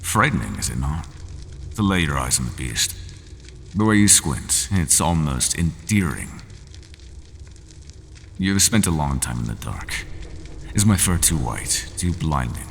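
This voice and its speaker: Man doing a rumbling, gravelly old beast of the maze, slow and softly menacing, lively melody, cavernous echo, steady hiss. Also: heavily acted. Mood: somber storytelling. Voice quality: deep gravelly voice. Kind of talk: ASMR roleplay. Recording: echoey cavernous reverb with steady noise.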